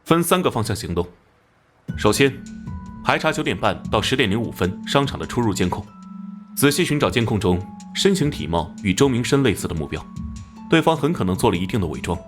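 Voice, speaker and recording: An actor playing a firm, resolute police captain with an authoritative manner in an animated crime drama. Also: male voice, lowish voice, fairly quick. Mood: brisk, commanding. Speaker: police captain giving orders. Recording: music behind.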